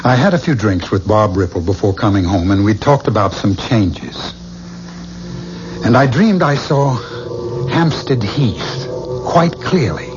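An actor in a 1970s radio drama playing an anguished, haunted man who believes he is a killer reborn, with intense delivery and weighted pauses. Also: on the low side, sing-song, music in the background. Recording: dull, noisy 1970s radio recording.

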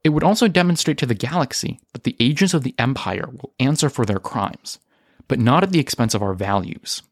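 A firm, principled stateswoman of a rebellion, acted by a man, speaking formally in an audio drama. Delivery is measured but expressive, recorded dry. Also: mid-range voice, dry recording. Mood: measured, pragmatic. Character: composed, principled, firm female rebel leader. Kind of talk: audio drama character reading.

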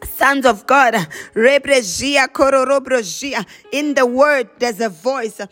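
High-pitched woman preacher, exhorting over music. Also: slow pace, fairly bright tone. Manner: exhortatory, repetitive, emphatic phrasing. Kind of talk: charismatic prayer sermon with chanted glossolalia.

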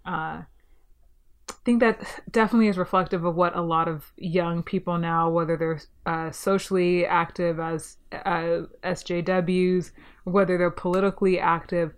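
A young woman talks through a solo podcast in a slightly low voice, eager and chatty, with plenty of ums along the way. Her nose sounds a little stuffy from a cold. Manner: enthusiastic, chatty, frequent ums. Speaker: podcast host.

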